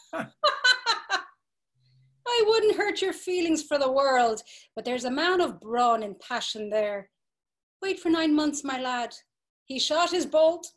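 A woman's voice: high-pitched, lively intonation, takes it slowly, long pauses. Woman playing a domineering, taunting brothel madam. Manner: commanding, taunting. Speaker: stage actor. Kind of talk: dramatic reading over a video call. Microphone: video call.

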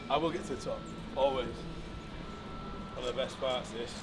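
A man's voice: medium pitch, steady tone, moderate pace, very noisy. A young man vlogging casually in a supermarket, cheeky and jokey, with a bit of swearing. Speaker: bodybuilder vlogger. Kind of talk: casual vlog walkthrough.

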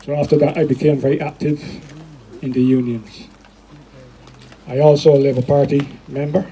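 An older man with a Barbadian accent, born in Barbados, giving a speech to an outdoor rally through a handheld microphone and loudspeaker, addressing the crowd with pauses and restarts. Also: fairly high pitch, level tone, poor audio. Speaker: rally speaker.

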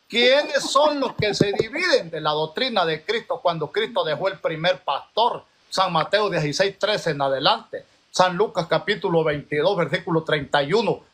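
A man's voice: high-pitched, fairly bright tone. A man giving an insistent, emphatic testimony in a religious debate.

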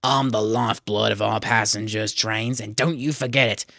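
A man voicing a haughty, loud-mouthed male steam engine who brags and huffs in a children's storybook. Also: fairly low pitch, steady tone, moderate pace, vocal fry, dry recording, clean studio sound. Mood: indignant, boastful. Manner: haughty, huffy, bragging.

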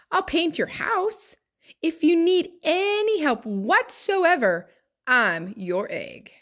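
Lady reading as a sweet earnest storybook egg, eager to please, slow n stretchy words, voice goes up and down a lot. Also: high-pitched, heavily acted. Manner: expressive, drawn-out emphasis. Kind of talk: children's picture book read-aloud.

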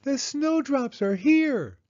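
A man's voice: very high voice, animated, slow, a little mellow, muffled recording, voice pushed up, heavily acted. A man voicing a sweet, eager, curious little girl mouse in a children's storybook, with earnest childlike questioning. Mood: excited.

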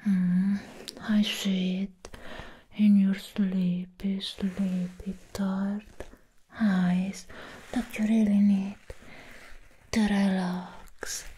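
A woman playing a sweet, doting vampire in an ASMR roleplay, soothing the listener in a breathy whisper and gently repeating words. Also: medium pitch, little pitch movement, very slow, long pauses, full character voice. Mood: soothing, hushed. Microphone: close ASMR microphone.

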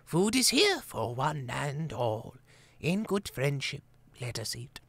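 A man's voice: medium pitch, very animated, takes it slowly, vocal fry, a bit bright, no echo, exaggerated voice. A man voicing a tiny, frail, ancient female mouse abbess in a quavery voice, reciting a grace. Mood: solemn.

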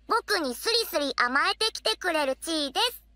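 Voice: extremely high pitch, expressive, moderate pace, sharp tone, a little hiss or hum, full character voice. An actor playing an earnest, fond little boy who narrates a children's cartoon in a careful, childlike way. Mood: fond, proud. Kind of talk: children's cartoon narration, diary read aloud. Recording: background music over the voice.

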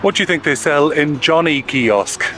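A man's voice: a little high, animated, normal speed. Chatty man vlogging on travels. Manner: chatty, wry and upbeat. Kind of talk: casual travel vlog narration. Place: outdoors in rain and inside a campervan. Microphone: handheld camera at arm's length. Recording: music playing underneath.

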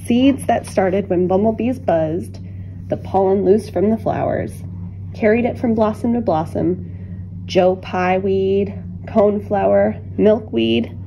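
Slow, mellow woman reading a storybook. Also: mid-range voice, a little hiss or hum, low-quality recording. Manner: deliberate, expressive phrasing with dramatic pauses. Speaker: children's storybook reader. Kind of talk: read-aloud picture book narration.

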